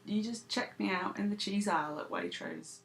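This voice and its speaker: A woman's voice: mid-range voice, wildly swooping pitch, normal speed, vocal fry, very noisy. A woman, vlogging to camera in a dry, self-deprecating, sarcastic way. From United Kingdom. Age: 30s. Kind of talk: casual talking to camera.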